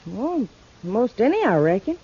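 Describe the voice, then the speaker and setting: A woman's voice: a little high, big pitch swings, a little slow, warm tone, husky, heavily acted. A defiant backwoods mountain girl with a rural Southern American accent. She is brusque and stubborn, in a western radio play. The sound is a dull vintage recording. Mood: stubborn, matter-of-fact. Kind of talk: old-time western radio acting. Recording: muffled old radio recording.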